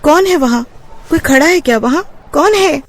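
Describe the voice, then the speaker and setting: A woman's voice: high voice, animated, moderate pace, pitched above the performer's own voice. A woman voicing a frightened young bride in a cartoon horror story, anxious and insistent. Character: frightened young bride new to a haunted mansion.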